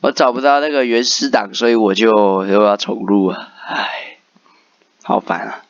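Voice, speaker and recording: A man's voice: fairly low pitch, normal speed, no room sound, muffled recording. A man doing casual game commentary and reading story text aloud. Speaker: game streamer doing a playthrough.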